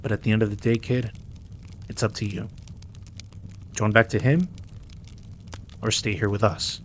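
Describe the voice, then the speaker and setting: Over clear background noise comes a low-pitched mafia boss, acted by a man. He is cocky and possessive, sweet-talking and teasing in the manner of a romantic audio drama. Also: steady tone, measured pace, lightly acted. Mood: candid, persuasive. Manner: smooth-talking, teasing, possessive, self-assured. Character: dominant, flirtatious, cocky male mafia boss. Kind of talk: intimate romantic audio roleplay acting.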